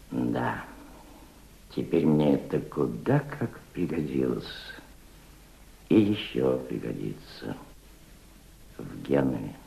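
A proud, cultured gentleman revolutionary speaks in a low, highly modulated voice. He reflects and orates like a fatherly mentor in an old radio play, heard in a roomy, echoing recording. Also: creaky, muffled recording, full character voice. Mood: reminiscing. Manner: reflective, oratorical, fatherly toward a young listener. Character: proud, cultured older Russian aristocrat turned revolutionary diplomat. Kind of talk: Soviet radio drama acting.

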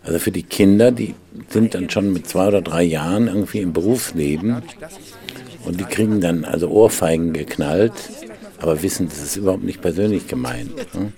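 A man's voice: sits low, fairly quick, warm tone. A man playing a detached intellectual in a naturalistic conversational radio drama, musing and often checking for agreement. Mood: detached, fascinated. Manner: musing, seeks agreement with question tags.

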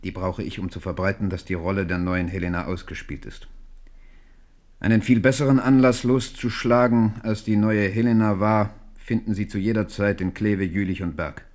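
An actor playing a shrewd royal counsellor in a German radio play, measured, formal and persuasive. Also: male voice, fairly low pitch, fairly even intonation, a little mellow, close to an everyday voice. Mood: calculating, persuasive. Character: shrewd, deliberate grand master, royal counsellor.

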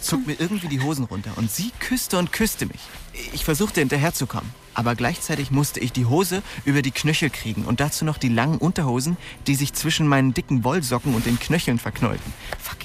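A man's voice: medium pitch, expressive, fairly quick, lightly acted. An actor playing a lovestruck, restless young man who narrates his small-town life in an intimate, slangy, wry way in a radio drama. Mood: flustered. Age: young adult. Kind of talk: radio drama first-person narration and dialogue.

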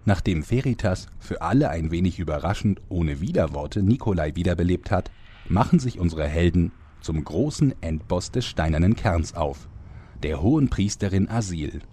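An actor playing a wry, dramatic narrator with a husky voice in a scripted comedy audio drama. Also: male voice, low-pitched, expressive, normal speed, dark tone.

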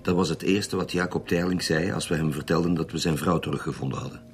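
An actor playing a firm, probing Flemish homicide detective in a radio drama. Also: male voice, very deep voice, quick pace, a little hiss or hum. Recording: music behind the voice.

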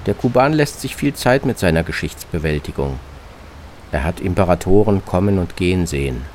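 Deep-voiced man narrating a travel feature. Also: expressive, darkish tone, slight background noise. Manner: measured, reflective, clipped descriptive phrases. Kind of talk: scripted literary travelogue narration.

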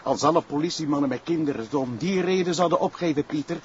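A man's voice: on the high side, quick pace, a little mellow, natural voice. A man with a Flemish accent playing a loyal, caring, principled police brigadier in a crime drama, in an earnest way. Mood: calm, concerned. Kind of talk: naturalistic TV crime drama acting. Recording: dull lo-fi drama audio, faint noise.

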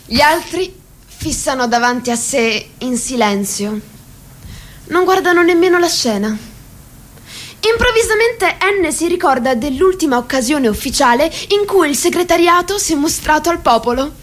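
A woman's voice: high voice, expressive, normal speed. A woman giving scripted dramatic narration in a radio play. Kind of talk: scripted dramatic narration, also voicing characters' lines.

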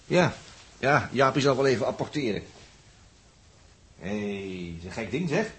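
A man playing a cheeky, sardonic spaceship crewman in a 1960s radio play, husky and colloquial, with wry grumbling. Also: mid-range voice, sing-song, a little slow, exaggerated voice. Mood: joking, curious. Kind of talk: 1960s science-fiction radio play acting.

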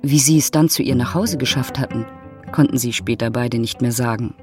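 A man's voice: medium pitch, brisk, faint music behind, lightly acted. A man narrating a love story in a literary audio drama. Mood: composed narrating.

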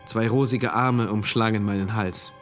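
A man's voice: on the low side, steady tone, normal speed, faint music behind, natural voice. An actor playing a troubled, introspective male diarist who narrates a dystopian radio drama in diary entries. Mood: brooding, detached.

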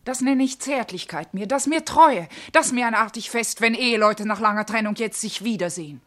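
A woman acting a middle-aged nagging wife of a servant in a comic radio play. The voice is fairly high, piercing and forceful, full of shrewish indignation. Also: a little fast, natural voice. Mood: envious complaint. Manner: shrewish, sharp-tongued. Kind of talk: comic classical verse radio drama acting.

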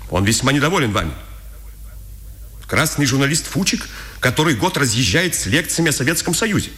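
An actor playing a formal, insinuating head waiter who is a secret agent, in a 1950s radio drama. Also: male voice, medium pitch, big pitch swings, bright, forceful tone. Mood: quietly threatening. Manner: formal, measured, veiled menace.

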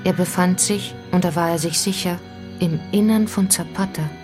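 An actress as the grave narrator of a radio play mystery, telling it in a measured, suspenseful way. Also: female voice, on the low side, lightly acted. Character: grave female storyteller of a mystery adventure. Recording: music under the voice.

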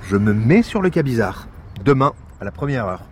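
An actor playing an irritated, sardonic police detective in a French audio drama, curt and impatient, with a French accent. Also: male voice, medium pitch, very animated, moderate pace, a little hiss or hum, lightly acted. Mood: curt, determined.

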